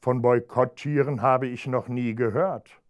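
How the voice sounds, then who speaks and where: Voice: mid-range voice, fairly even intonation, a little slow, muffled recording, lightly acted. A reader doing Chow, naive little puppy. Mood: puzzled. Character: naive, worried young male puppy. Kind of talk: storybook character voice.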